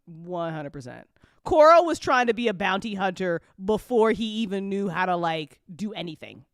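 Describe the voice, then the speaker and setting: A woman chatting casually and unscripted on an after-show, telling stories in a rambling, animated way with slangy swearing. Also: medium pitch, normal speed, dry recording, studio quality. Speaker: audio drama creator chatting on a live after-show.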